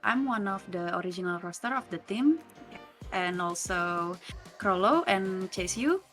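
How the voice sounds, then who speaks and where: A woman's voice: medium pitch, animated, a little slow, fairly bright tone, soft background music. A woman from Indonesia, an esports player, introducing herself in a recorded interview. She talks in simple, plain phrases.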